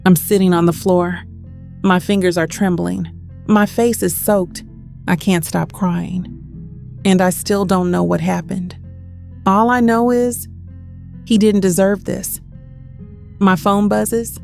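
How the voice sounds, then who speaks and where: Woman acting heartbroken young woman, audio drama narration, slow halting delivery, creaky voice, faint music underneath. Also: mid-range voice, fairly even intonation, darkish tone. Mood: tearful grief.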